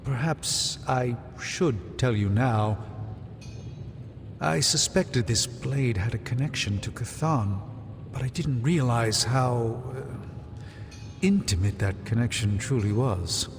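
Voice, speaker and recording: A man playing an anxious occult researcher in a video game cutscene, speaking formally and gravely with hesitant pauses. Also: medium pitch, slight background noise. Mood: hesitant, troubled. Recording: long reverb, music underneath.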